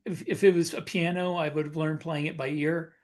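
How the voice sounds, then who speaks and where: A man with a slightly high voice talks casually as a podcast co-host. He rambles through personal anecdotes, often halting and correcting himself as he goes. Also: little pitch movement, a little mellow.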